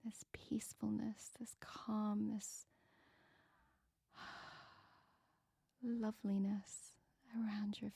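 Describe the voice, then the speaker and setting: A woman softly whispering a guided meditation with slow, soothing breath counts. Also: mid-range voice, vocal fry, husky, dry recording, studio quality.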